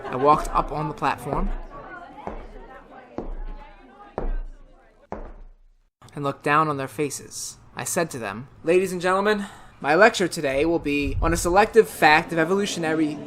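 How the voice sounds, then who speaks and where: A man playing a tormented, guilt-ridden murderer in melodramatic, feverish 1930s-style radio horror, swinging from hushed to shouting. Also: a little high, a little fast, slight background noise, natural voice. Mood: haunted. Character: tormented guilt-ridden male murderer hounded by phantom voices.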